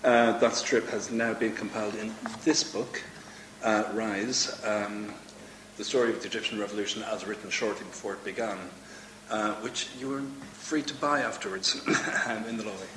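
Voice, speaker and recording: Low guy hosting a live talk in a hall, lots of ums, echoey room. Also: fairly even intonation, normal speed, background noise. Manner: hesitant, many ums. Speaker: talk show host. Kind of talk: live event interview. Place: event hall with audience. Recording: echoey room with faint ambience.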